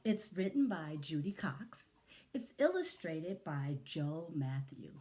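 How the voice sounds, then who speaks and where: A woman reading a picture book aloud to young children in an expressive, deliberate manner, with little asides to her listeners. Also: low-pitched. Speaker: children's story reader, Montessori educator.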